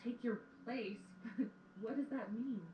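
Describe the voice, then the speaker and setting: A woman's voice: on the high side, slow pace, highs cut off. A woman playing a lovesick, clinging young pony student in an audio drama, pleading with melodramatic intensity. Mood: dismayed. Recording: echoey, distant playback with background noise.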